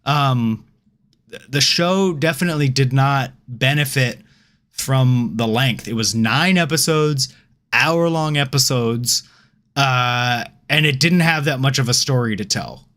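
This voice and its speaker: A man's voice: medium pitch, unhurried, fairly bright tone. A man co-hosting a podcast, chatting casually in an informal, sweary way and often saying "like". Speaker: podcast co-host. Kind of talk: casual chat about TV and games.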